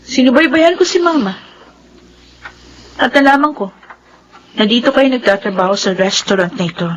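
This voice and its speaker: An actress playing a headstrong, resentful young adult daughter, blunt and accusing, in a radio drama. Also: female voice, fairly high pitch, normal speed, dull audio, a little hiss or hum, lightly acted. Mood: cold, determined. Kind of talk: Filipino radio drama acting.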